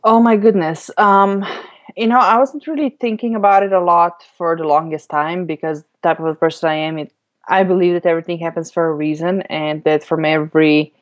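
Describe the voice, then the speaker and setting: A woman's voice: lowish voice, muffled recording. A woman from Slovenia answering as an interview guest, chatty and reflective as she tells personal stories. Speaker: podcast guest, business coach.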